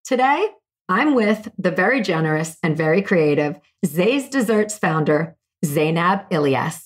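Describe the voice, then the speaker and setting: A woman's voice: fairly low pitch, lively intonation, unhurried. A woman hosting a business podcast, speaking with enthusiasm and lots of "you know" as she introduces and interviews a guest. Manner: upbeat, enthusiastic, uses fillers like "you know". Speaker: podcast host. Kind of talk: scripted intro then casual interview.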